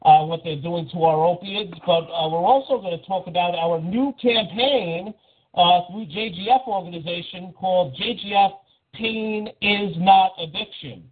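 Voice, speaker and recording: Halting man on a phone-like line. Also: high-pitched. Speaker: co-host of a call-in show. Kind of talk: casual chat. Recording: phone-like, band-limited call audio.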